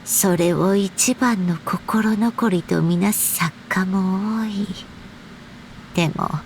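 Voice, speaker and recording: A woman playing a gentle, wistful storybook author in a game, speaking in a measured, reflective way with breathy pauses. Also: medium pitch, slight background noise, lightly acted. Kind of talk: Japanese game story voice acting.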